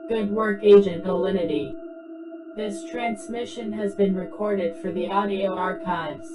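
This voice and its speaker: A woman's voice: mid-range voice, measured pace, lightly acted, poor audio. A woman voicing an impersonal, official science-fiction debriefing system with formal, terse delivery. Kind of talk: scripted dramatic reading, clipped transmission prompts. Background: background music.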